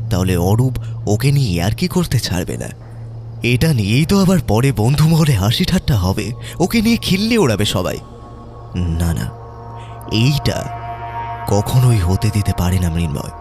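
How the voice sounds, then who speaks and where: A man narrating a scripted horror story in a slow, measured way. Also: medium pitch. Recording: narration over background music.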